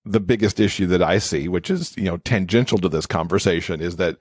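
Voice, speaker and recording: A man's voice: low voice, a little fast, slightly rough, dry recording, clean studio sound. A man speaking as an expert podcast guest, explaining things in casual interview talk.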